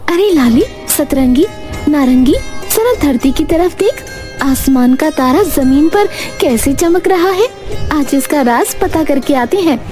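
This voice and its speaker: A woman voicing an earnest, commanding fairy in an animated fairy tale. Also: high-pitched, measured pace, faint music behind. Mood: curious, alarmed. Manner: urgent, explanatory, commanding. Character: earnest female fairy warning a human.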